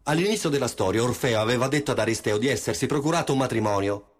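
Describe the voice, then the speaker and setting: An actor playing a pompous, easily exasperated chorus leader narrating a radio drama in declamatory style. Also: male voice, medium pitch, quick pace, breathy edge, close to an everyday voice.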